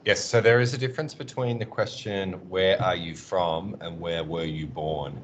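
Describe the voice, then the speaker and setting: A man teaching an online class, giving encouraging feedback on a student presentation with many pauses and ums over a video call. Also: low-pitched, fairly even intonation, fairly bright tone, a little hiss or hum.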